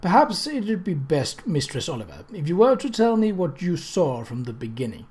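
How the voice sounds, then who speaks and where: A narrator voicing a blunt, judicious male coroner in an audiobook, with formal, measured questioning. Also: medium pitch, very animated, a little mellow, breathy edge. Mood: kindly, formal. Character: gruff, blunt coroner, judicious older man.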